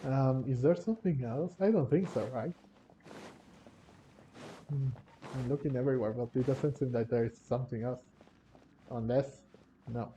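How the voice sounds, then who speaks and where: Slow, very mellow man commenting on gameplay. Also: mid-range voice, muffled recording, a little hiss or hum. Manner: hesitant, musing aloud, repeats words. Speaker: gaming video host. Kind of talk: casual game playthrough commentary.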